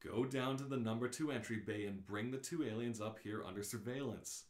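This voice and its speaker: Guy doing a calm reasonable space traveller lady, reassuring, roomy echo. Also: medium pitch, fairly quick, close to an everyday voice. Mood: placating.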